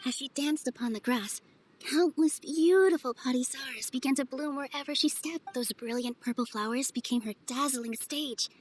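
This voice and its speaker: An actress playing a kind, graceful young festival dancer in a video game, speaking softly and earnestly. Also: female voice, sits high, moderate pace, slight background noise, lightly acted, low-quality recording. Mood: wistful, storytelling. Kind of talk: video game character voice acting.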